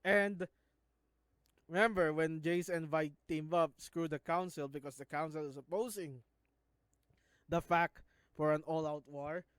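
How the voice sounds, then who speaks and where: Filipino-accented man with a smooth voice. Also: fairly high pitch, fairly even intonation, fairly bright tone, no echo. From Philippines. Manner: halting, restarts words often. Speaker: YouTuber analyzing a show. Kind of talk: casual video commentary.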